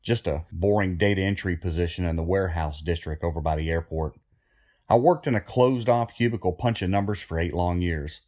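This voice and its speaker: An actor playing a troubled condemned killer who confesses in a plain-spoken, easily worked-up way, in a horror audio drama. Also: male voice, sits low, darkish tone, natural voice.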